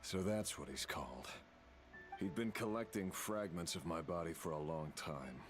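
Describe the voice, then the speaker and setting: An actor playing a brooding, remorseful fallen villain in a video game, speaking slowly and solemnly with halting phrases. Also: male voice, deep voice, lightly acted. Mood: grave. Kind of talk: dramatic video game dialogue. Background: music.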